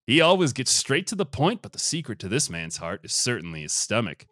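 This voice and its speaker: Booming guy hosting a joke dating show, super animated, dry sound. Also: fairly quick, no room sound. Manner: booming, theatrical. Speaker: podcast host. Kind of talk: mock dating game show announcing.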